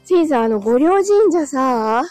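A woman with a high, light voice hosts a casual livestream while walking outdoors. She is chatty and courteous, greeting viewers and often giggling. Her breath is a little short from the climb after being ill, and faint music sits behind her. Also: lively intonation, measured pace, darkish tone, dry recording. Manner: chatty, polite, laughs often. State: breathless from walking uphill, recovering from illness. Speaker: livestream host. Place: outdoors, walking on narrow hilly street.

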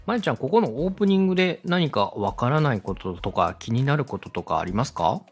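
A man's voice: mid-range voice, sing-song, fairly quick, husky, soft background music. A man hosting an instructional podcast, explaining things politely and step by step to a co-host. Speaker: host, radio director teaching podcast production.

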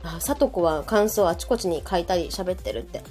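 A woman's voice: lowish voice, expressive, brisk, a little mellow. A woman with a Kansai accent chatting casually as a co-host of a live podcast. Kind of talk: casual chat, reacting to listener comments. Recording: background music under the talk. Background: music.

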